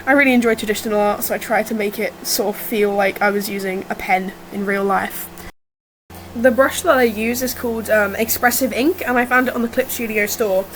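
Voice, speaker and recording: A woman with a slightly rough, under-the-weather voice gives casual, unscripted commentary on her own artwork in a chatty, self-deprecating way. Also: medium pitch, steady tone, fairly quick, rough recording. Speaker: digital artist narrating own speedpaint. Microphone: built-in or improvised, no dedicated mic. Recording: fan noise, no proper mic. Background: whirring fan.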